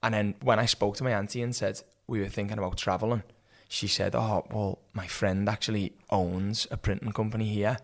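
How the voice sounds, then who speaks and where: A man's voice: lowish voice, little pitch movement, a little fast, hardly any pauses. A man in his thirties from England with a Liverpool accent, chatting casually and rambling a little as a podcast guest. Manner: chatty, rambling, laughs often. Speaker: podcast guest, former student. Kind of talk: casual interview chat.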